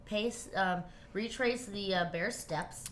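A woman's voice: medium pitch, slow, a bit bright, slight background noise. A woman playing a tabletop game, chatty and bubbly, laughing often. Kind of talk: casual chat while playing.

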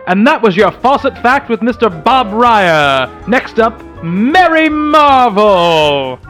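Male radio play narrator, very high pitch, lively dramatic intonation, scripted announcer-host delivery, soft music behind. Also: slow.

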